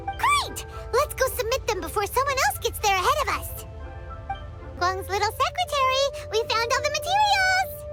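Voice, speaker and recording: Tiny excitable game fairy. Extremely high, squeaky, swooping, over loud music. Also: female voice, moderate pace. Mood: excited. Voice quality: squeaky, childlike. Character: tiny excitable floating fairy companion, childlike and chatty. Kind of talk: scripted video game voice acting.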